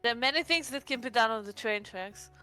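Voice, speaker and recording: A woman's voice: on the high side, brisk, bright, forceful tone, clear, resonant tone. A woman playing a tabletop roleplaying game, chatting casually and describing what her character does. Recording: music behind the voice.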